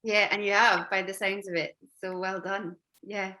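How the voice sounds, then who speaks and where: A woman's voice: medium pitch, level tone, normal speed, pushed and bright. A woman hosting an interview on a video call, chatty and full of fillers. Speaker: host, careers adviser.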